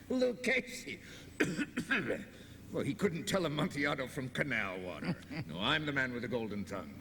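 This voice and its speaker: An actor playing a boastful Venetian wine merchant in an audio drama, with a blustering, pompous and exclamatory manner. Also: male voice, medium pitch, big pitch swings, unhurried, pushed and bright, a little hiss or hum, exaggerated voice. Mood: boastful, jovial. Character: boastful, jovial wine merchant in old Venice, coughing reveler. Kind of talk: theatrical radio drama dialogue. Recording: long hall reverb, faint music.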